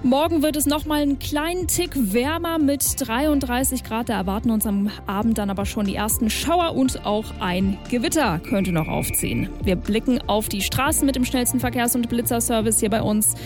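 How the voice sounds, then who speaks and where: A woman's voice: a little high, moderate pace, few pauses. A woman presenting a radio morning show in a chatty style, recorded in a studio. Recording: music bed behind studio voice. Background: music.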